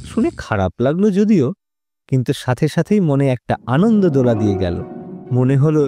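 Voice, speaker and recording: Man acting theatrical storyteller, eager yet cautious boyhood self, lively swings in intonation, dramatized tale, prominent music. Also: medium pitch, normal speed, lightly acted. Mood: suspenseful, gleeful. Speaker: storyteller recounting his own boyhood. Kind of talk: dramatized first-person story narration.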